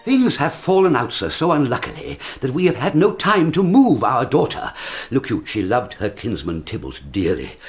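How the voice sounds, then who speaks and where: Blustery older lord dad, shakespeare radio play, voice leaping all over the place. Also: male voice, mid-range voice, sing-song, moderate pace. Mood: weary, apologetic. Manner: rambling, abrupt exclamations.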